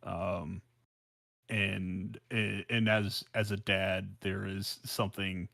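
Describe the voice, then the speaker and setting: A man's voice: low-pitched, level tone, drawn-out words, vocal fry, fairly bright tone, dry recording, clean studio sound. An American man chatting casually on a podcast, hesitant and repeating phrases as he searches for his words. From South Central Los Angeles, United States. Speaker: podcast co-host.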